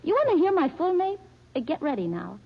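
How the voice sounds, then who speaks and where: A woman's voice: high-pitched, big pitch swings, brisk, creaky, exaggerated voice. An actress playing a brash, chatty young working-class woman in a 1940s radio drama, slangy and wisecracking. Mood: breezy. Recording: dull old radio recording.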